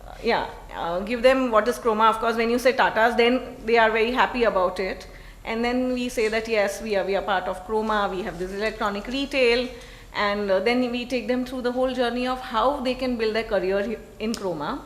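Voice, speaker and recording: Woman, panelist, earnest, filler-heavy, fairly high, roomy sound. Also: brisk. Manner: earnest, explanatory, with many fillers. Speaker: retail executive on a panel. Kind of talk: panel discussion.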